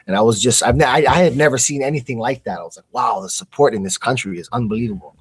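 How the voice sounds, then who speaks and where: Male radio co-host from the US, mid-pitched voice, loose and slangy sports chat, dry close sound. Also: fast talker, husky, no echo. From United States. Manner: informal, slangy. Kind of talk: casual live sports chat.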